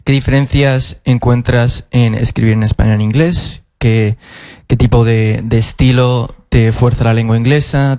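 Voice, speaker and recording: Careful Spanish man, dark level voice. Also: medium pitch, no echo, studio quality. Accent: Spanish from Spain. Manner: long, careful questions with many restarts. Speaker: audience member asking questions. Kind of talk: formal question from the floor. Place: auditorium.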